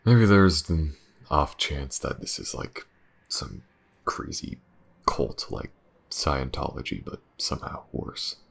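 A man playing a weary, driven detective in an audio roleplay, with a hesitant, muttering delivery and a gravelly voice. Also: sing-song, slow pace, exaggerated voice. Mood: brooding, thoughtful. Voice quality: very deep, gravelly. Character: weary, driven male detective investigating a dark mystery. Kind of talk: intimate audio roleplay acting.

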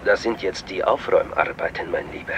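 Composed, patronising informant, secretive, crime radio drama, dull sound with faint noise. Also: male voice, low-pitched, brisk, a bit bright. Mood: cool, reassuring.

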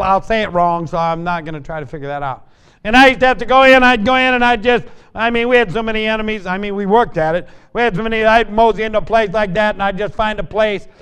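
An older American man preaching a folksy revival sermon in a small church, telling stories and shouting at the high points. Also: clear, resonant tone. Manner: storytelling, shouts on climaxes, jokes with congregation. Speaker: evangelist. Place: small church sanctuary at a pulpit. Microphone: fixed camera from the pews.

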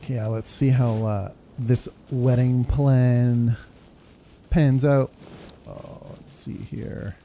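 A man's voice: medium pitch, steady tone, drawn-out words, mellow, a little hiss or hum. A man in his thirties giving informal, slangy playthrough commentary, often searching for words. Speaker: gaming streamer.

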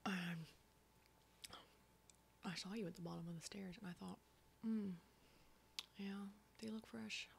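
A woman playing a foul-mouthed, sardonic apocalypse survivor in an ASMR horror roleplay, breathy, dry and often whispering. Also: medium pitch, fairly even intonation, slight background noise. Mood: relaxed, wry. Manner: sweary, dry, unhurried.

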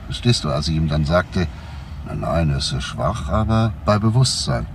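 An actor playing a composed, persistent police detective in a crime radio play, asking probing, deliberate questions. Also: male voice, very deep voice, very animated, vocal fry, exaggerated voice. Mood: explaining. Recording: faint background noise.

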